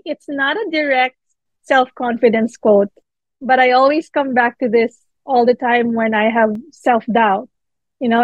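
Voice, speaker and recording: A woman's voice: fairly high pitch, dry recording. A woman from the Philippines, a book editor, talking thoughtfully as a guest in an interview. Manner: thoughtful, with fillers. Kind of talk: interview conversation. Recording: dull dry lo-fi sound.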